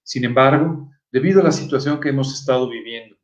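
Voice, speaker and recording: A man's voice: mid-range voice, brisk. A man preaching a sermon in an earnest, didactic manner, often posing rhetorical questions. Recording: echoey room, dull muffled sound.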